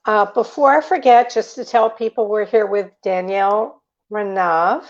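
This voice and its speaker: A woman's voice: mid-range voice, moderate pace. Dull, lo-fi call audio carries a woman with a mid-range voice. She is the host, putting questions to a guest in a conversational way. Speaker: host, interviewer. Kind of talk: conversational interviewing.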